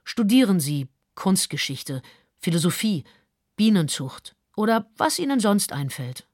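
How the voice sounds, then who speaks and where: A woman's voice: medium pitch, sing-song, measured pace, darkish tone, no echo, clean studio sound. An actress playing a composed, firm female adviser, reading out advice as in a letter in a radio drama. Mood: calm, firm.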